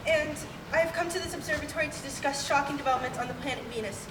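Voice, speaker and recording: A woman's voice: high-pitched, quick pace, over music, lightly acted. A young woman playing a formal, earnest male radio reporter in a stagey parody of old radio news. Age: young adult. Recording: roomy noisy stage, distant mic.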